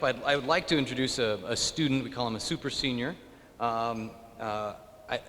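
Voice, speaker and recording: A man hosting an event, introducing the next speaker in a halting way with many fillers. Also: mid-range voice, a bit bright. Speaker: event host. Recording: reverberant hall with faint room noise.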